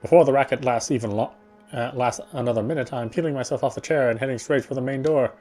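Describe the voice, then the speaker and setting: A man reading first-person story narration aloud in a theatrical, fussy gentleman's manner. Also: mid-range voice, fast talker, soft background music. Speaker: game player reading aloud.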